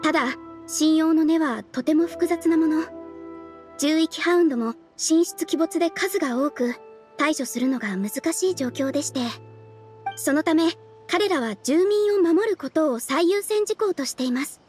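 A very high, youthful female voice acted by a woman plays a courteous guild receptionist in a video game. The delivery is formal, businesslike and earnest, with music playing underneath. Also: moderate pace, darkish tone, natural voice. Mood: polite, earnest. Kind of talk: Japanese game dub, quest briefing dialogue.

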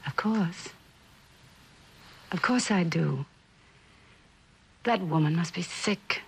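A woman's voice: on the low side, lively intonation, slow, vocal fry, husky, full character voice. An actress playing a genteel, middle-aged mother who clings tenderly and hesitantly to her daughter, in a vintage suspense drama. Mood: pained, tender. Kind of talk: 1960s television suspense drama acting.

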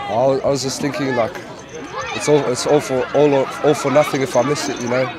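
A teenage rugby player answering post-game questions outdoors into a handheld mic, casual and halting. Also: male voice, mid-range voice, fairly even intonation. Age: teens. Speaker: vice captain. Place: outdoor sports field. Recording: loud crowd noise outdoors.

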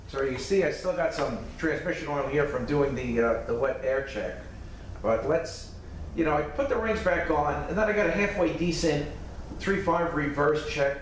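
A middle-aged man with a New York accent talks through his work in a casual, rambling way, full of fillers. He sits well back from the camera mic in a reverberant repair shop. A faint steady hum sits under his voice. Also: fairly high pitch, recorded from a distance. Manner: plainspoken, rambling with fillers, hands busy working. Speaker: transmission mechanic. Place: cluttered indoor repair shop. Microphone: camera mic, a few feet away.

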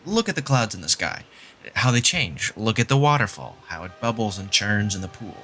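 A sharp-edged, bright-toned mathematician who lectures with insistent patience, as a man acts him out. His voice sits fairly low but cuts through, and he stresses his points firmly, with soft music underneath. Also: big pitch swings, moderate pace. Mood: lecturing. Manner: explains patiently, emphatic and insistent. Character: sardonic male mathematician, confident lecturer. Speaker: fan voice actor. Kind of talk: fan audio drama acting.

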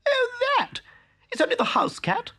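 A woman voicing a proud, fussy female town mouse in a storybook reading, with a high squeaky, sniffy delivery. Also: sing-song, a little slow, exaggerated voice. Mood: breezy.

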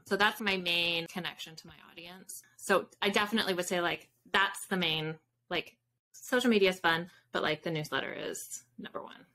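A woman's voice: fairly low pitch, normal speed, pushed and bright, poor audio. A middle-aged woman chatting casually as an author interviewed on a podcast over a video call from home. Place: home room with bookshelves, indoors.

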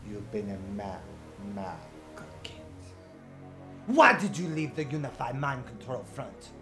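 Brief: actor as a domineering mock-French mime, drawn-out delivery, faint music under it. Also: male voice, medium pitch, very animated, pushed and bright. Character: menacing male mime with fake French accent. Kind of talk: campy web-series comedy acting.

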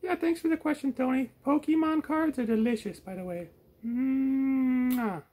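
A man voicing a silly, cheeky, food-obsessed puppet creature in a squeaky, strained falsetto. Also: a little slow, low-quality recording. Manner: excitable, cheeky, teasing. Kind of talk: improvised puppet show.

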